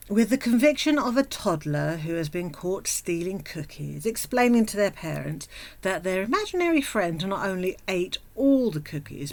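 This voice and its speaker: Slow, sardonic woman storyteller, lowish voice. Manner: sardonic, theatrical, drawn-out dramatic pauses; mock-grand wordy phrasing. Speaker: storyteller host. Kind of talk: scripted comedic storytelling narration.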